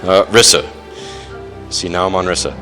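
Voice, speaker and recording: Young male best man, lowish voice, halting informal wedding speech, dry self-deprecating humor, faint music behind. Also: bright, forceful tone. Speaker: best man, groom's older brother. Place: wedding reception, speaking to guests.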